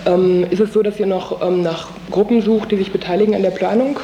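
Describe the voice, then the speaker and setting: High-pitched, soft-toned male radio interviewer. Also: fairly even intonation, a little fast, muffled recording. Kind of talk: conversational interview questioning. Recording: roomy, echoey, faint background noise.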